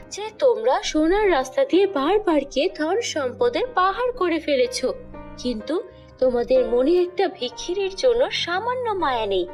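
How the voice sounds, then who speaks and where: A stern fairy of justice from a cartoon fairy tale, acted out by a woman narrator. Her voice is high and swings widely as she passes solemn judgement, with music underneath. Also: darkish tone. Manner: scolding, solemn, declamatory. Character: stern righteous fairy woman judging greedy villagers.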